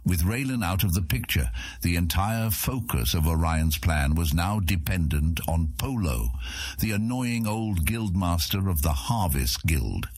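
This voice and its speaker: A man's voice: sits low, breathy edge, no room sound. A man narrating a fantasy audiobook in a scripted, dramatic storytelling manner.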